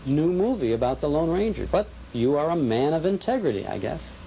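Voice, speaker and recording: A man's voice: on the high side, big pitch swings, fairly quick, creaky, dark tone. A man hosting a casual television interview as host and interviewer, on a noisy old tape. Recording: noisy deteriorated cable TV tape.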